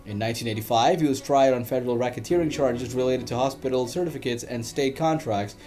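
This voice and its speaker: A man's voice: mid-range voice, mellow, soft background music. Man narrating a dramatic history story. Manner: dramatic storytelling with emphatic pauses. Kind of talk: scripted documentary narration.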